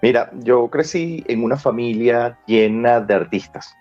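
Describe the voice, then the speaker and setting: A man's voice: mid-range voice, measured pace, a little mellow, highs cut off, soft background music. A Venezuelan man in his forties, talkative and colloquial, telling stories casually as an interview guest. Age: 40s. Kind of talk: casual conversational storytelling.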